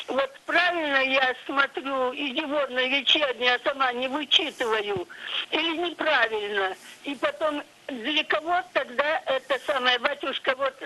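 A woman in her eighties from the Leningrad region of Russia, calling a live show by telephone with halting, repetitive, homely phrasing. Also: sits high, bright, forceful tone. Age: 80s. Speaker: caller to a live programme. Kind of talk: casual spoken question on a phone-in. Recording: tinny telephone line.

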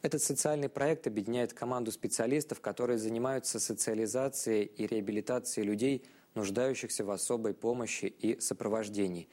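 A man presenting a scripted educational programme to viewers, speaking in a measured, formal and bookish manner. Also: lowish voice, steady tone, darkish tone.

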